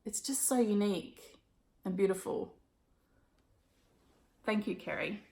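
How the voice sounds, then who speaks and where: Croaky Australian woman, chatty and roomy. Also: mid-range voice, slow pace, a little mellow. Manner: chatty, upbeat, frequent ums and little laughs. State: hoarse, croaky voice. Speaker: cross-stitch vlogger. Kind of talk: casual show-and-tell recap.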